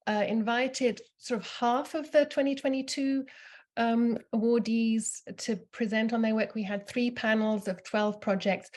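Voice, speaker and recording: A woman's voice: medium pitch, darkish tone. A woman from Germany who grew up in England, giving an informal presentation with frequent fillers and restarts. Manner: hesitant, many fillers and restarts. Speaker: university program staff presenter.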